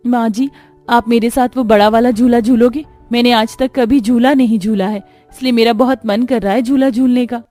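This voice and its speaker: Woman voicing a sweet young daughter-in-law, higher pitched. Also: fairly even intonation, normal speed, warm tone, soft background music, close to an everyday voice. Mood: excited. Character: gentle, emotional young daughter-in-law from a palace.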